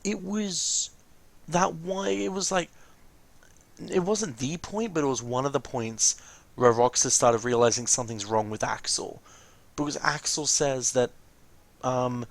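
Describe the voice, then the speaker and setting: A man giving casual, rambling game commentary as a let's play host, informal and often correcting himself mid-sentence, with a creaky voice. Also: medium pitch, a bit bright.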